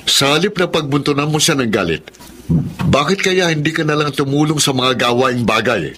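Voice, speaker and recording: An actor playing a calm, fair-minded middle-aged father who reasons and gently reproves in a radio drama. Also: fairly high pitch, normal speed, husky. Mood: reproving.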